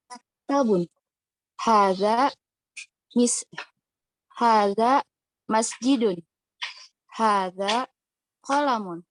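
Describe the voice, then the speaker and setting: A woman student reading Arabic phrases aloud word by word, halting and hesitant. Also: on the high side. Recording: distant with faint noise.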